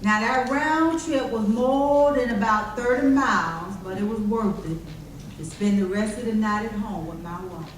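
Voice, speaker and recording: A woman performs an earnest, plainspoken former slave recalling the past in folksy dialect. The delivery is slow and carries from far away through a very echoey hall. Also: mid-range voice, natural voice. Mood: wistful recollection. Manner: folksy dialect, measured storytelling. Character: formerly enslaved person recalling plantation life, earnest, plainspoken. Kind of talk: readers theater monologue performed on stage. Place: church hall. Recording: distant mic, very echoey hall, faint noise.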